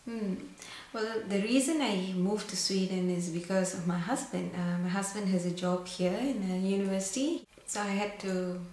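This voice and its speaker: A woman's voice: medium pitch, moderate pace, a little mellow. A young woman from Singapore chatting to camera in a casual vlog, full of fillers and restarts. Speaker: makeup vlogger. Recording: very echoey room, faint background hiss.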